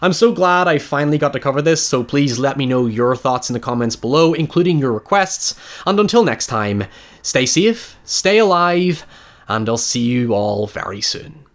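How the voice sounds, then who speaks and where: A man's voice: medium pitch, animated, normal speed, no room sound. A man narrating a scripted video essay as its host, in a conversational, wry and sardonic way. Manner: wry, sardonic, with rhetorical questions and mild swearing. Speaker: host of a film retrospective show.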